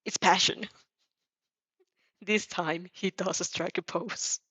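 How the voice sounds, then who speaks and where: A woman's voice: lowish voice, steady tone, extremely slow, a bit bright, husky, dry recording. A woman reading a fan fiction story aloud with casual asides, giggly and often stumbling over her words.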